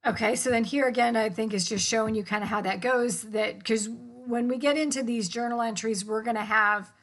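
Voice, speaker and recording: A woman's voice: on the high side, a bit bright. An American woman giving an informal, explanatory lecture as an instructor, in colloquial language with casual check-ins. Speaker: accounting instructor.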